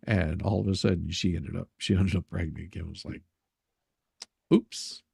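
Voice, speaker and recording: A man's voice: low voice, fairly even intonation, normal speed, gravelly, darkish tone, husky. A man hosting a live stream in casual chat, reading messages aloud and commenting sarcastically, often repeating words as he goes.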